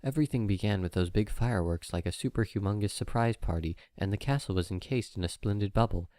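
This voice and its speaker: Low-voiced man narrating, dry recording. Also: quick pace, warm tone, no echo. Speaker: audiobook narrator. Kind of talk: scripted fan-fiction story narration.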